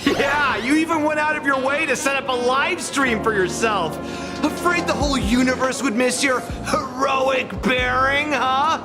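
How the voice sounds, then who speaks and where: A small cartoonish sidekick in a game. The voice is high and drawn out, jeering sarcastically over music. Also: female voice, measured pace. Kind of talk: anime-style game voice acting.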